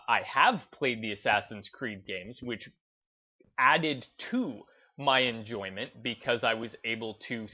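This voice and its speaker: A man hosting a gaming video essay, speaking in an emphatic, deliberate, unscripted way and repeating phrases to drive his points home. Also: medium pitch, highs cut off. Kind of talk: opinionated commentary, unscripted talk to listeners.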